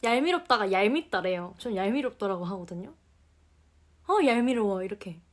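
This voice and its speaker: A young woman chatting playfully on a live stream, relaxed and teasing, recorded on a phone in a small room. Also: mid-range voice, brisk. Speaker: idol live-stream co-host. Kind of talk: casual live-stream chat. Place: small indoor room, plain wall. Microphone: phone camera at arm's length.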